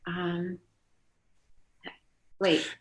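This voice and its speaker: A woman's voice: on the low side, completely flat delivery, drawn-out words, a crowd in the background. A middle-aged woman reminiscing casually as an interview guest, informal and rambling. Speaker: guest, daughter of an interviewed actor. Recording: roomy, echoey sound.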